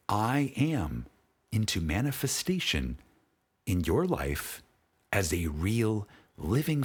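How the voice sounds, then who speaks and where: A man narrating a spiritual audiobook in a dramatic, solemn way, measured and with long pauses, his voice husky and breathy. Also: on the low side.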